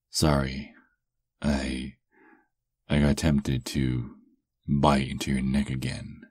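Man as a very deep, slow-murmuring vampire lover. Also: completely flat delivery, husky, no room sound, exaggerated voice. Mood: hungry, protective. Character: doting, possessive, seductive male vampire lover. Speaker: ASMR roleplay performer. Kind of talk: intimate ASMR roleplay.

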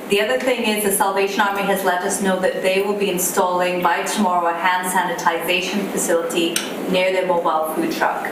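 A woman with a low voice giving a staff update in a video meeting, speaking steadily with fillers. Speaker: city staff presenter. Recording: roomy distant video call audio with background noise.

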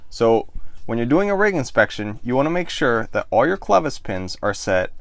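A man in his thirties calmly explaining how to do something step by step, demonstrating with his hands in a small boat cabin, close to the camera. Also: mid-range voice, normal speed, dry recording. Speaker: sailing show host. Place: small wood-paneled boat cabin, indoors. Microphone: camera close in front of the face.

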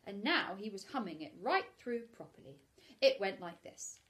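A middle-aged woman, a teacher, gently reading a bedtime story aloud to young children from her living room on a laptop camera. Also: mid-range voice, very animated, normal speed.

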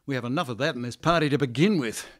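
A man's voice: mid-range voice, racing, a bit bright, slight background noise, lightly acted. An actor playing a flustered, fussy male public relations manager who talks in analogies in a radio comedy. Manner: flustered, fussy, fond of metaphors.

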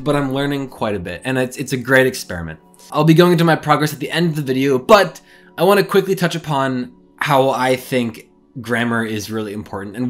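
A young man talking casually to his webcam in a small bedroom and explaining language learning ideas in an animated way. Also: medium pitch. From English-speaking. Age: young adult. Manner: animated, gestures a lot while explaining. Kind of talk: casual talking-head vlog.

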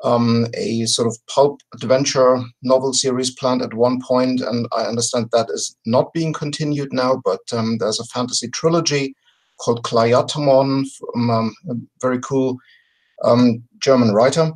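Measured man on a video call. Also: medium pitch, fairly even intonation, rough recording. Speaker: podcast guest. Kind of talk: casual explanatory storytelling. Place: home room with bookshelves. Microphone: video call, webcam distance.

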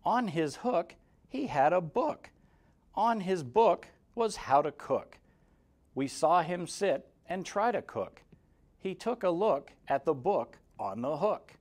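A man in his forties reading a rhyming children's picture book aloud, expressively, in a measured sing-song with clear emphasis. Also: fairly high pitch, big pitch swings, darkish tone. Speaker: guest story reader for kindergarteners. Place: indoor room, seated in front of a banner. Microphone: lapel mic.

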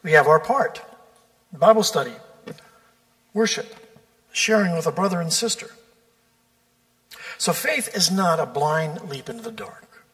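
A man preaches from the pulpit in a slightly high voice. He is measured and deliberate, drawing out key words for emphasis. Long reverb from the church sanctuary trails behind his voice. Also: expressive, fairly bright tone. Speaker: pastor. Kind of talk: sermon.

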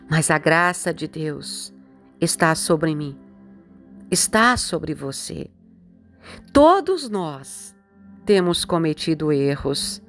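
A middle-aged woman bishop with a Brazilian Portuguese accent, preaching a devotional in a slow, earnest, pleading way, as a voice-over with soft music beneath. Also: medium pitch.

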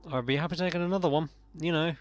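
A young man, slightly croaky, giving sarcastic, sweary commentary while playing a game. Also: a little high, steady tone, rapid-fire, soft background music. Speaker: let's play gamer. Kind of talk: casual gameplay commentary.

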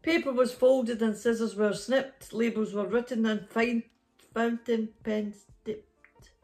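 Slow-paced middle-aged woman reading a story. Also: fairly high pitch, fairly even intonation, darkish tone, soft background music. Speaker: storytime host. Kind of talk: reading a children's picture book aloud. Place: small bedroom, sitting up in bed.

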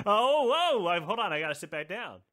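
A man's voice: high voice, expressive, brisk, fairly bright tone. A man hosting a comedy podcast, telling improvised stories in a crude, profane manner and prone to laughing. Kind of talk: improvised comic storytelling with banter.

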